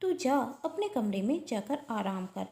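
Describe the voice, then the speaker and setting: A sly elder sister-in-law from a domestic moral story, voiced by a woman narrator. She talks with false care and pious self-righteousness, in a slightly high, creaky voice in a roomy space. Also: very animated, a little slow, dark tone, full character voice. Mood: sweetly insistent. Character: sly, sweet-talking elder sister-in-law in an Indian household. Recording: roomy echo.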